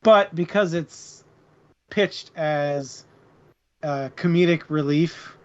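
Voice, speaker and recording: A man's voice: on the high side, slow, a little mellow, lo-fi sound. A man co-hosting a book club show, giving his opinions in casual, unscripted chat.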